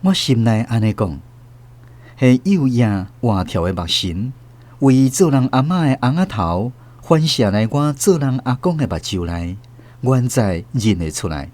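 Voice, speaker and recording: A man's voice: medium pitch, sing-song, a little slow, darkish tone. An actor playing an elderly grandfather with a Taiwanese accent, narrating a radio drama in a tender, wistful, literary way. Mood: tender, reflective. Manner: literary, emotive storytelling.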